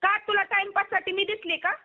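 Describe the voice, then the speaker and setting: A woman's voice: very high-pitched, flat delivery, fairly quick. A woman arguing heatedly over the phone, scolding and firing insistent questions at the other person. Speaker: online video performer receiving a prank call. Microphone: phone call. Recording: muffled lo-fi phone call recording.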